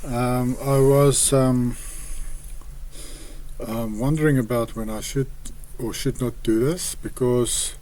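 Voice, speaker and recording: A man with an Afrikaans accent talks through scripture at a slow, unhurried pace, pausing often and hesitating between thoughts. His voice is mellow and dark, with a pitch in the middle of the usual male range. He reads verses aloud and comments on them in English, his second language. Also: steady tone. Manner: hesitant, halting with frequent pauses. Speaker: online Bible commentator.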